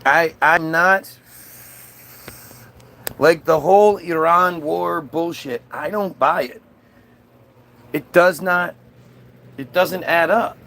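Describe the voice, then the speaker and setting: A man's voice: fairly high pitch, takes it slowly, gravelly, pauses often, pushed and bright. A man in a casual, combative political rant, dismissive and sweary as he answers chat comments. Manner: frequent swearing.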